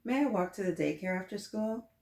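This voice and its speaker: A woman voicing an eager, determined little girl with a high, pleading voice during a read-aloud. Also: fairly quick, darkish tone, close to an everyday voice. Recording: echoey room.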